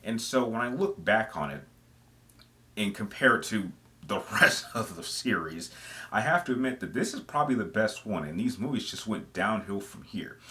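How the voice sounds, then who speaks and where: A man's voice: fairly low pitch, expressive, normal speed, sharp tone. A man giving casual, semi-scripted commentary as the host of a gaming video, explaining his opinions conversationally and stressing phrases for emphasis.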